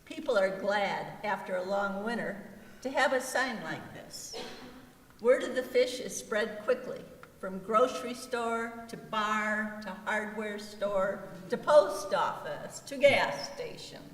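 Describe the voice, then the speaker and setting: A woman narrates a scripted story at a slow pace for readers theater. She sounds far from the microphone, with heavy echo around her voice. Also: medium pitch, a little hiss or hum. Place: large reverberant hall. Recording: echoey hall, distant microphone.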